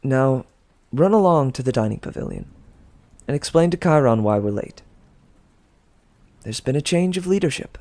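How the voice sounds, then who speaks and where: A man's voice: medium pitch, animated, moderate pace, long pauses, a little mellow, pitched above the performer's own voice, close to an everyday voice. A man voicing a confident teenage storybook heroine with a bold, breezy, taunting manner. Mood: sweetly taunting. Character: confident, cheerfully defiant teenage girl heroine.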